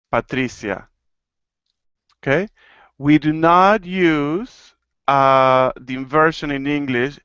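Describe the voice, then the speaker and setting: A man from Argentina teaching an online Spanish class, playful and clear, slowing down to model phrases. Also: mid-range voice, fairly bright tone, no room sound, lo-fi sound. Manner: playful, laughing, slow and clear when teaching. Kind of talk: live online language class.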